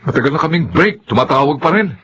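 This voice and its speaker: A man's voice: on the high side, very animated, fast, fairly bright tone, a little hiss or hum. An actor playing a placating, torn young father with a Filipino accent in a radio drama. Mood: troubled.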